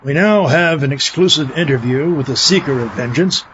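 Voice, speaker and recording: A man as an earnest, higher-voiced spoof reporter. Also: moderate pace, dull audio, a crowd in the background, lightly acted. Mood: self-serious. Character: earnest, clueless mock TV field reporter. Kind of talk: parody news reporting.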